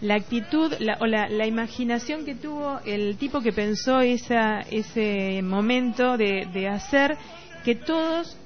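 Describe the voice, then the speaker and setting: A woman's voice: fairly high pitch, measured pace. An Argentine woman with a Rioplatense accent hosting a casual radio chat, informal and searching for her words. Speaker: radio host. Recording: dull lo-fi radio, faint music behind.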